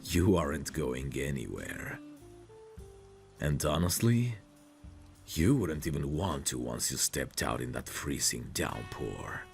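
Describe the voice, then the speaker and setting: A man playing a possessive, protective mafia boss in an intimate audio roleplay, calmly menacing, commanding and smug. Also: faint music behind, exaggerated voice. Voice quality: deep, gravelly. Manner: slow, commanding, smug, teasing endearments.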